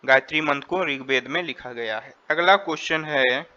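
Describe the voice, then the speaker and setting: A man's voice: mid-range voice, moderate pace, bright, forceful tone, dull audio. A man with an Indian accent teaching an exam quiz, reading questions and options, then repeating and rephrasing them as he mixes Hindi with English. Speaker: exam tutor. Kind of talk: quiz lesson, reading questions and explaining answers.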